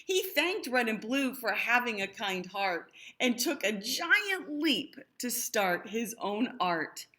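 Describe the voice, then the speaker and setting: Female storybook reader, fairly high voice, slow pace, expressive, explaining things to young listeners. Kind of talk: children's read-aloud with explanatory asides.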